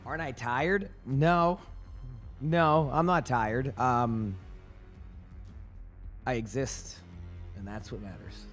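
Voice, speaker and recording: A male streamer chatting casually with his live chat, his voice hoarse and rambling with many restarts. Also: medium pitch, slow pace, long pauses, a bit bright, faint music behind.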